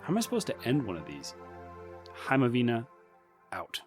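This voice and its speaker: A worried newsroom man voiced by an actor records a candid message for an audio drama. His medium-pitched voice is frank and a little awkward. Faint music sits behind him. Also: huge pitch swings, moderate pace. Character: worried, candid colleague of a journalist, sending radio message.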